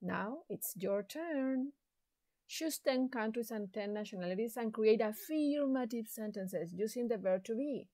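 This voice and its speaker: Woman, English teacher voice-over, slow and clear, higher voice, dry close mic. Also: lively intonation, mellow, no room sound. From Chile. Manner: slow, deliberate, encouraging teacher talk. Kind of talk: slow recorded classroom lesson.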